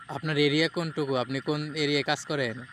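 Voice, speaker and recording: A man's voice: on the high side, fairly even intonation, quick pace, fairly bright tone, lightly acted. A man with a Bangladeshi accent posing as a reproachful, probing police official on a prank phone call, starting hesitantly. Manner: questioning, admonishing, hesitant starts. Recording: phone call with faint noise.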